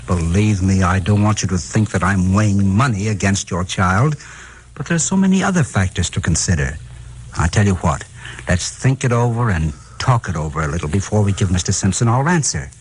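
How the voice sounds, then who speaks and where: A calculating husband in 1950s radio style. His voice is fairly low and raspy, swinging expressively as he soothes and persuades. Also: expressive, a little fast, rough recording. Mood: placating. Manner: placating, persuasive. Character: smooth-talking, calculating husband feigning reasonableness. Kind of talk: 1950s radio drama acting.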